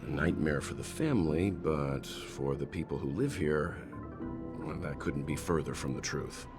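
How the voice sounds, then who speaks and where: Casting: mature game investigator, deep register, deliberate and courteous delivery, composed temper. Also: male voice, over music. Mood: grave, measured. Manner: measured, deliberate, formal phrasing. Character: composed, thoughtful mature man, an investigator-traveller. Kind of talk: video game voice acting.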